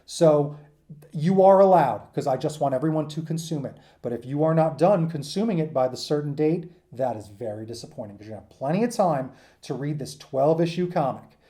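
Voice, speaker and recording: A man's voice: on the high side, expressive, fairly quick, warm tone. A man giving an informal lecture to students in an enthusiastic, emphatic, rambling conversational way, as their teacher.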